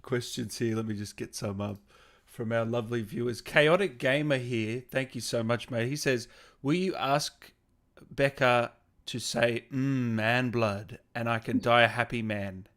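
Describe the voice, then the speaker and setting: A man's voice: medium pitch, measured pace, a bit bright. A man hosting a casual gaming interview, chatting with his guest and reading out questions from viewers. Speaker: interviewer, gaming channel host. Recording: video call.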